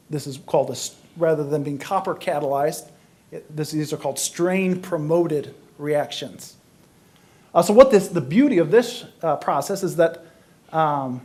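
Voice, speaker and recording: A man giving an explanatory academic science lecture as a panelist, speaking in a halting way as he searches for words. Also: a little high, a little mellow.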